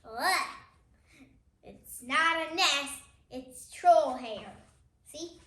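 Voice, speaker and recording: Slow, high-pitched child reading aloud. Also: a bit bright, a little hiss or hum. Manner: halting, with childlike commentary. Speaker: child reader, host of a reading channel. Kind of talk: reading a picture book aloud with casual asides. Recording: echoey room.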